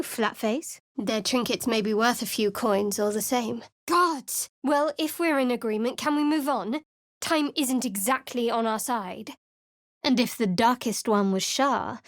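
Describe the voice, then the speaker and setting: A woman's voice: on the high side, no room sound, natural voice. A woman playing a guarded, sardonic young female cleric in a fantasy video game, speaking in a cool, dry, clipped way.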